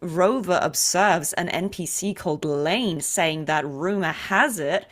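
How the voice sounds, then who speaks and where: A woman hosting a chatty, playful interview, talking like an excited fan in an animated, gushing way. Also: on the low side, a little slow. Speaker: interview show host and voice actor.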